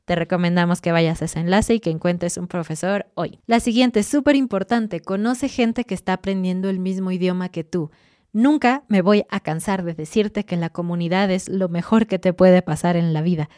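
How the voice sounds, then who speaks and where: Encouraging woman, low voice, dry sound. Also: moderate pace, no echo. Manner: clear, encouraging, lively asides. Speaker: Spanish teacher and podcast host. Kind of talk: friendly advice to learners.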